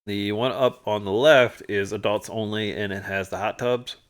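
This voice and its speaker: A man co-hosting a livestream, chatting casually through stories and photos from a trip, animated when he retells moments. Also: on the low side, normal speed. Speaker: livestream co-host. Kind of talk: casual storytelling chat over travel photos.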